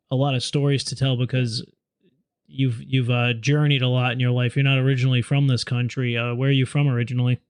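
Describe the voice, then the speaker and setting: A man's voice: mid-range voice, clean tone, dry recording. A man hosting a casual interview podcast, friendly and talking with restarts. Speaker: podcast host.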